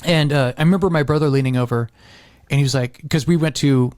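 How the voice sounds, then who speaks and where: A middle-aged American man chatting casually as a podcast host, rambling and repeating himself with animated emphasis. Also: mid-range voice, quick pace, dry recording, studio quality.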